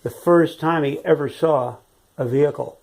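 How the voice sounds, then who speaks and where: An older man from San Francisco, now in his eighties, reminisces in a relaxed, rambling way, often pausing on "uh" and "you know." His tone is soft and mellow rather than bright. He sounds like a retired teacher sitting for an oral-history interview. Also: fairly high pitch, gravelly. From San Francisco, California. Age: 80s. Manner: rambling, with frequent "uh" and "you know", chuckles as he remembers.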